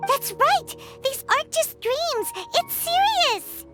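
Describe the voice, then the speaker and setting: A woman voicing a tiny, excitable, childlike fairy companion with a squeaky voice in a video game cutscene. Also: slow, exaggerated voice. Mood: urgent. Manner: earnest, exclamatory. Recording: music playing behind the voice.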